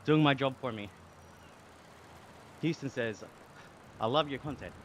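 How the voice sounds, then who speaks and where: A man's voice: mid-range voice, unhurried. A young Australian man streaming live while riding an exercise bike, casually chatting, reading out viewer comments and often laughing. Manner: upbeat, laughs often, thanks viewers warmly. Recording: game sounds and traffic noise behind.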